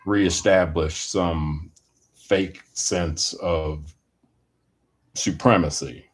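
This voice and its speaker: Man, low voice, very slow pace, deliberate halting delivery, wordy formal phrasing, opinion monologue. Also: slightly rough. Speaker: commentator giving his personal opinion.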